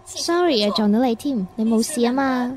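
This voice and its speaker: A woman's voice: a little high, animated, moderate pace, darkish tone, close to an everyday voice. A woman playing a polite, sweet young commuter in a radio drama. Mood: apologetic.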